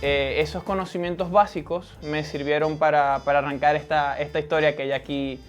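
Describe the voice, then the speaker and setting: A man calmly introducing himself as a barista interviewee, speaking in a measured, reflective way. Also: a little high. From Venezuela. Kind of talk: on-camera self-introduction. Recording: loud background guitar music.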